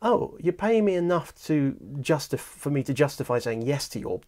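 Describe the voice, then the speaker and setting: A man's voice: fairly high pitch, normal speed. Enthusiastic English male host in his thirties, casual studio chat. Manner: enthusiastic, animated, gestures while talking. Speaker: host and audiobook producer. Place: small studio lounge with shelves of toys. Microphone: lapel mic.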